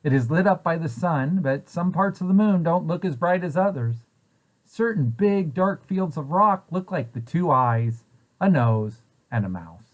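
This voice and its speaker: A man's voice: on the high side, expressive, measured pace, poor audio. A man reading a children's picture book aloud, posing questions and answers in a storytelling way for young listeners. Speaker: preschool site director reading aloud.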